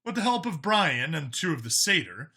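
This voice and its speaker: A man's voice: fairly high pitch, sing-song, normal speed, sharp tone, slightly rough. A man reading a science fiction action story aloud as dramatic scripted narration. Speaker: audiobook-style story narrator.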